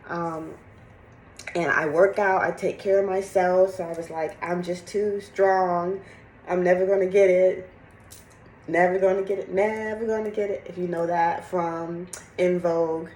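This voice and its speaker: A middle-aged woman with a slightly raspy, congested voice, chatting candidly and casually in a rambling personal vlog. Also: lowish voice, flat delivery, measured pace, a little mellow, reverberant. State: sick with congested cough. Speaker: fitness vlogger. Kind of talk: casual chat to camera, personal update.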